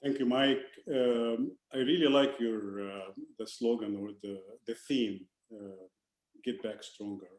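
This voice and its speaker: A man in his sixties speaks haltingly in a mellow, dark voice. He restarts his phrases and lets them trail off quietly. The sound is roomy, with a clear echo. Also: fairly low pitch, steady tone, soft background music. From Egypt. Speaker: university provost, panelist. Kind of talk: webinar self-introduction. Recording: echoey room.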